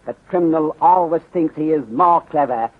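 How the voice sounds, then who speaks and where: A muffled, narrow-band 1930s radio recording carries a man playing a shrewd, courteous middle-aged Chinese detective. His husky voice is measured and formal, with clipped sentences that drop their articles. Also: on the high side, natural voice. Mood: calm, methodical. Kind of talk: 1930s radio mystery acting.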